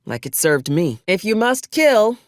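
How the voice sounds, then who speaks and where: A woman's voice: mid-range voice, big pitch swings, unhurried, dry recording, studio quality. A woman playing an ambitious, ruthless military officer in a video game, wry, with formal old-fashioned wording mixed with folksy frontier slang. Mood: cool, sardonic. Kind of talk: video game character dialogue.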